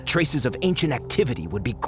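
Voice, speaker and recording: A man acts out a smooth, money-minded archaeologist for a video game. His mid-pitched voice is animated and persuasive, with polite, self-important airs. Music is clearly audible behind him. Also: quick pace, natural voice. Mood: eager. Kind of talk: video game story dialogue.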